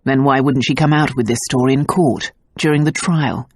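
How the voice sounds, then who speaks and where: A gruff, devoted nobleman speaks low and steady, his voice dropped well below the reader's own. A woman narrator acts him out. The recording is dry and close. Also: moderate pace, dry recording. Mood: cold. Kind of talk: audiobook character voice.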